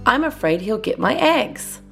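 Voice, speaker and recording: A woman voicing a small, excitable female robin in a children's storybook, anxious and exclaiming. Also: a little high, a little slow, gravelly, soft background music, voice pushed up, exaggerated voice. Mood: worried.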